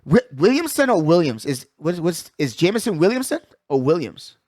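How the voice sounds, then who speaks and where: A man's voice: fairly high pitch, sing-song, moderate pace, a little mellow, no echo, clean studio sound. A man hosting a sports show, talking casually with strong opinions in a slangy, emphatic, conversational way, often repeating his phrases.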